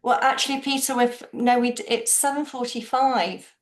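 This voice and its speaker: A woman's voice: fairly high pitch, fairly even intonation, moderate pace. Woman chairing a video call, echoey room. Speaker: event moderator. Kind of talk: online book launch discussion.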